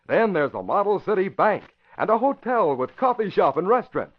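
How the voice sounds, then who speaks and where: A male announcer from 1940s radio reads scripted copy with polished emphasis. His pitch moves in lively, wide swings. The sound is the dull, narrow tone of an old broadcast. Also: brisk. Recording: muffled vintage radio broadcast.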